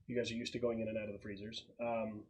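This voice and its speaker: A man running a casual tabletop role-playing game as game master, explaining the setup with halting pauses. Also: on the low side, flat delivery, a little mellow, a little hiss or hum, rough recording.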